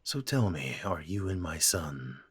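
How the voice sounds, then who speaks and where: A man voicing a gruff middle-aged father in an audio drama, his voice low and rough with a husky grain. He is stiff and clipped, uneasy with his grown son. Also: animated, unhurried, a bit bright, no echo, heavily acted. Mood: awkward. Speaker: voice actor.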